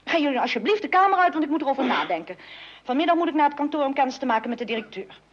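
A dull, old radio recording of an eager, easily exasperated daughter in a family comedy. Her high voice swoops with emphasis as she insists on having her say. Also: rapid-fire. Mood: exasperated, earnest. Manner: animated, emphatic, enumerating point by point. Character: eager, exasperated grown daughter of a chaotic household. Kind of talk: old-fashioned Dutch radio comedy acting.

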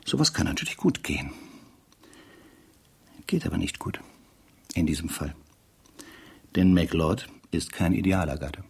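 An actor playing a dry, sardonic narrator who muses aloud in a conversational radio play style, with a husky, gravelly voice. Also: male voice, low voice, expressive, unhurried, pauses often, exaggerated voice. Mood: wry, musing. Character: dry, sardonic male investigator-narrator reading the files.

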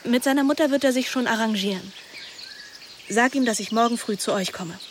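A woman's voice: on the high side, fairly quick, noisy background, natural voice. A young woman playing a scheming, anguished teenage girl who narrates her own story in a radio drama, intimate and introspective. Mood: urgent, persuasive.

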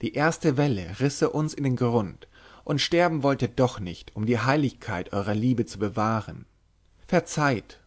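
A man's voice: mid-range voice, expressive, a little slow. Man voicing a bold, secretive young witch-maiden. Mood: amused, proud. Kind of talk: dramatic literary audiobook reading.

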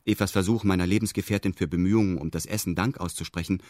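A man's voice: low-pitched, fairly even intonation, fast talker, darkish tone, no room sound, clean studio sound, close to an everyday voice. A man narrating a radio drama in the first person, in a formal, detached and meticulous manner. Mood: detached, exact. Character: detached man recounting events with pedantic exactness.

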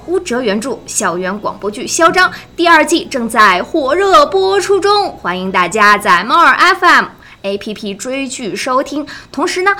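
A woman's voice: high voice, pushed and bright. A woman hosting a live show in a bubbly, encouraging manner. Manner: bubbly, cheering the guests on. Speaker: host of a live fan event.

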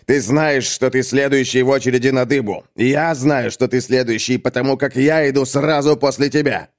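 A man voicing a dim, menacing thug in an audiobook, grumbling gruffly and boasting like a simpleton. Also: mid-range voice, fairly even intonation, moderate pace, lightly acted. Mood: threatening. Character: dim, menacing male thug who speaks of himself by name. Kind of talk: audiobook character acting.

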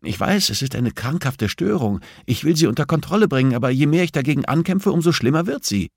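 Casting: male reader playing cynical convict for audiobook, brazen and complaining, voice pushed up high, lively swings. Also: fast, creaky, slightly rough, dry recording, clean studio sound, exaggerated voice. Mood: uneasy, confessing. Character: defiant, whining, cynical male prison inmate. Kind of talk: audiobook character dialogue.

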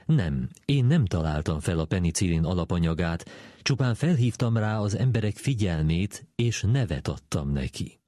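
A man reading the words of a measured, matter-of-fact scientist in a scripted documentary quotation. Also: low-pitched, sing-song, mellow, dry recording, clean studio sound. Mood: modest, measured. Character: male scientist, measured and matter-of-fact, explaining his discovery.

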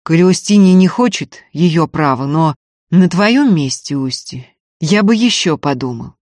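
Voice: mid-range voice, expressive, unhurried, darkish tone, no echo, close to an everyday voice. A narrator voicing a grave, weary landowner, dry sound. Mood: grave, persuading. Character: Zakatov, weary grave young landowner, guilt-ridden but firm. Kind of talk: dramatic audiobook character reading.

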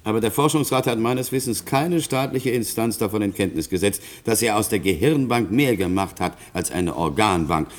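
Insistent, animated low-voiced prosecutor. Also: male voice, moderate pace, few pauses. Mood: pointed, controlled. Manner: emphatic, formal, pressing. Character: insistent, articulate male chief state prosecutor. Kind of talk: German radio play acting.

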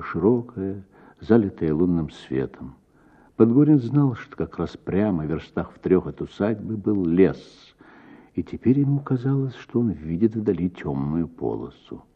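A male actor narrating a literary story for radio with dramatic, measured delivery and deliberate pauses. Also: deep voice, breathy edge, muffled recording.

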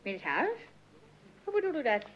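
An actress playing a nervous, folksy housemaid who chatters hesitantly and deferentially, in old radio comedy style. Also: female voice, fairly high pitch, huge pitch swings, moderate pace. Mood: curious, doubtful. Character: nervous, fearful, chattering working-class housemaid. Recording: muffled, noisy old radio recording.

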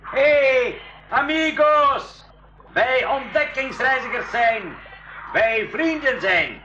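Pidgin-speaking explorer, halting calls, roomy echo, clear noise, radio play. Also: female voice, high-pitched, lively intonation, pushed and bright, heavily acted. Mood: friendly hailing. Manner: calling out haltingly. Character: explorer hailing strangers in broken pidgin, friendly. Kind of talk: school radio play acting. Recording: echoey, dull, noisy 1980s radio play.

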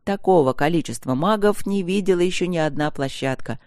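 A woman's voice: fairly low pitch, fairly quick, darkish tone, no echo, close to an everyday voice. A woman narrator puts on a silly male news host. He announces in a playful, jokey way. The audiobook recording is dry. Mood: jokey, upbeat.

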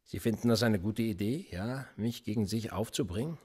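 An actor playing a menacing police interrogator in a radio play, needling and mocking with a husky voice. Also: male voice, lowish voice, normal speed, warm tone. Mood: insinuating. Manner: needling, insinuating questions. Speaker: police officer.

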